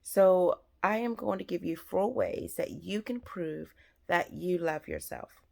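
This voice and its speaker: Raspy woman giving dating advice. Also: fairly low pitch, unhurried, darkish tone. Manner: earnest, repetitive, many fillers. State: raspy, scratchy throat. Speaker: host. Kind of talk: advice talk to camera.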